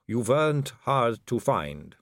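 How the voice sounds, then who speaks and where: A man's voice: mid-range voice, big pitch swings, no room sound, clean studio sound. Man voicing a silky aristocratic lady vampire. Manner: slow, silky, languid delivery. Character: suave, poised aristocratic female vampire.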